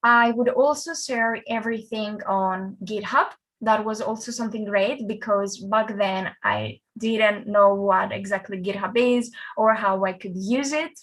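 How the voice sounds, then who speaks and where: A woman's voice: medium pitch, animated, unhurried, few pauses, fairly bright tone, low-quality recording. A young woman from Greece, a front-end developer, presents a conference talk in a friendly, conversational way with fillers and restarts.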